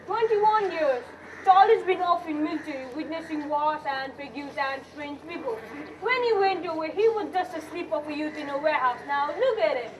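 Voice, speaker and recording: A grumbling but hospitable family father played by a young woman in an amateur stage play. The voice is high, and it is picked up from a distance over clear background noise. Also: animated, normal speed. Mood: hearty, curious. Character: grumbling, hospitable middle-aged father and husband. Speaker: student actor in a school play. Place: school stage, recorded from a distance. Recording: distant noisy school stage recording.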